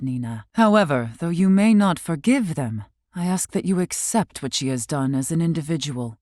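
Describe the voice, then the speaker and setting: A wise, composed fantasy game heroine with a low voice. She speaks in formal, archaic, carefully measured phrases and carries a dry wit. The sound is close and dry, with no room on it. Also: dark tone, dry recording. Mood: gentle, solemn. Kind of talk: scripted video game character acting.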